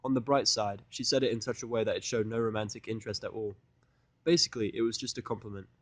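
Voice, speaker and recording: A man's voice: lowish voice, fairly even intonation, fast talker, no room sound. A man reading a first-person fan fiction audiodrama in a conversational, blunt, sardonic and profane manner. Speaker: fan fiction reader and narrator.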